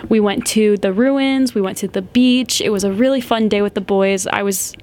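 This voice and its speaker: A woman's voice: medium pitch, moderate pace, a little mellow. A woman in her twenties hosting a podcast, telling a story in a chatty, giggly way and saying "like" a lot. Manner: chatty, giggly, heavy use of "like". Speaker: podcast host. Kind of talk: casual storytelling.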